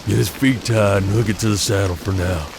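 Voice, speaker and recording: An actor playing a tough, practical frontier bounty hunter in a western audio drama, with drawling, folksy menace. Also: male voice, lowish voice, fairly even intonation, brisk, creaky, background noise. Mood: grimly practical.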